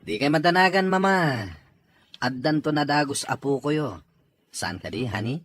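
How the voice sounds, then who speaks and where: A man's voice: on the high side, moderate pace, a bit bright, no echo. A man acting an eager young suitor and dutiful son in an audio drama. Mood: confident.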